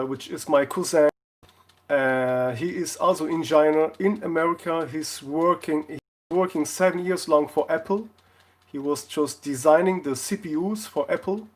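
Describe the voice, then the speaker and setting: A man from Portugal, chatting casually on a live stream in a jokey way, often halting to search for words. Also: on the high side. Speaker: live streamer, console repair video maker.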